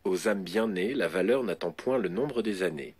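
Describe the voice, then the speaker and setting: A male computer voice assistant giving evasive, witty canned replies in a smooth synthetic voice. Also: sits low, moderate pace. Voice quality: smooth synthetic text-to-speech voice. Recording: noisy phone speaker.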